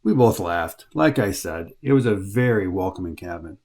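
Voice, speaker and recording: A man's voice: low-pitched, lively intonation, moderate pace, noisy background. A middle-aged man with an American accent narrating his long-distance hike in a wry, understated storytelling voice. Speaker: hiking vlogger. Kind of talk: scripted travel narration.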